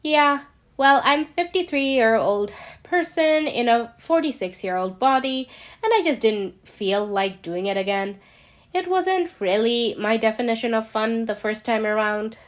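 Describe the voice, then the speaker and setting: A woman's voice: on the high side, expressive, measured pace. A woman voicing a sarcastic, flippant middle-aged male superhero from the future in an audiobook. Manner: wry, sardonic, flippant.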